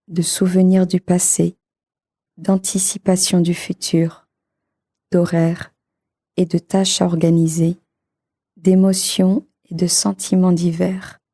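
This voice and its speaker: Very slow, mellow woman guiding meditation. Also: on the low side. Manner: calm, soothing, measured. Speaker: meditation guide. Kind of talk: slow guided meditation for sleep.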